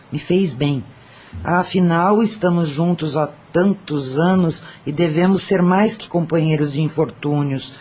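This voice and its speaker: Man acting an earnest high-voiced companion spirit. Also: darkish tone, breathy edge. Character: earnest, friendly fellow spirit seeking companionship. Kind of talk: audio drama acting.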